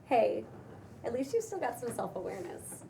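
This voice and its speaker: A woman's voice: fairly high pitch, sing-song, normal speed, creaky, distant mic, noisy background. A woman at a table read playing a skeptical newcomer who is weirded out by the household. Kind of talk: table read acting of a pilot script.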